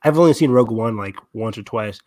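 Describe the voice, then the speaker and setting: A man's voice: fairly low pitch, fast talker, a little mellow, no room sound. A man co-hosting a podcast, chatting casually as a fan. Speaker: podcast co-host.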